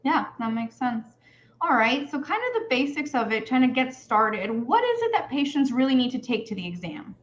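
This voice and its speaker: High-voiced woman hosting an interview. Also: big pitch swings, normal speed, muffled recording, slight background noise. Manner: friendly, laughs often, many fillers. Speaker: immigration attorney and host. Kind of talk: hosted video interview.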